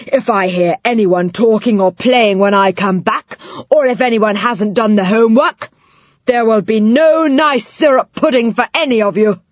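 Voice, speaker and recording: A woman's voice: on the high side, moderate pace, no room sound, dull audio, pitched above the performer's own voice, close to an everyday voice. A woman voicing a stern, bossy old schoolmistress who snaps and scolds in a storybook reading. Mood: commanding. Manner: snapping, scolding, commanding.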